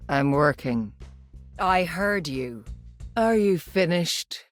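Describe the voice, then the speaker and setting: A woman's voice: fairly low pitch, expressive, takes it slowly, faint music behind. An actress playing a haughty, brilliant, driven female scientist in a video game, speaking in a confident, lyrical and dryly witty way. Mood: curt, irritated. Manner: well-spoken, lyrical, confident, dry. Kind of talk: video game hero voice lines.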